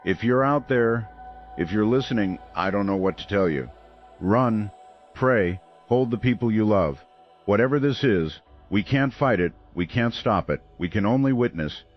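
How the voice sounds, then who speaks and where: A man's voice: lowish voice, expressive, moderate pace, dull audio, soft background music, natural voice. Man acting shaken, grave radio host. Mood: resigned despair. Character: shaken, helpless male radio host broadcasting during a catastrophe. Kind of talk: radio drama acting, live call-in broadcast.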